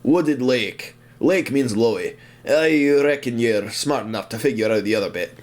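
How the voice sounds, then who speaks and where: A man voicing a big, kindly old tank engine with a Scottish accent, blunt and deliberate, in an audio drama. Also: mid-range voice, lightly acted. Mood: thoughtful, firm. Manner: forward, deliberate, good-natured.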